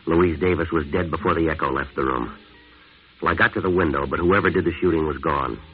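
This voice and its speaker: An actor playing a tough, world-weary American jazz musician, clipped and deadpan, in a 1950s hard-boiled radio drama. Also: male voice, rumbling low, a little fast. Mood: deadpan, brooding. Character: tough, world-weary male jazz musician narrating his crime story. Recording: tinny old radio recording with music.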